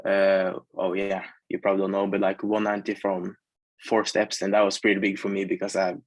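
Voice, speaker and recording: A young man in his late teens speaks English with a Norwegian accent. His voice is fairly low and even in pitch, in casual interview talk with a dry, close sound. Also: a little fast, no room sound. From Norway. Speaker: athlete guest.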